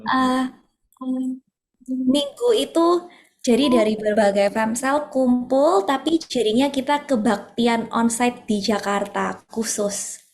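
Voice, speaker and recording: A woman's voice: fairly high pitch, animated, slow pace. A woman with a Surabaya accent, answering the preacher in short, laughing replies during a service. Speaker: congregation member. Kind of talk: reacting during a sermon.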